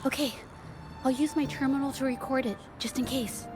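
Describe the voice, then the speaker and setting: An actress voicing a thoughtful young woman investigator in a video game, who reasons aloud in a measured way. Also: high voice, fairly even intonation, music in the background, natural voice.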